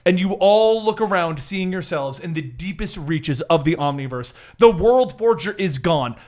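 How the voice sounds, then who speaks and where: A man's voice: high voice, animated, normal speed, darkish tone. A man working as game master, narrating a superhero tabletop game like a dramatic, theatrical storyteller.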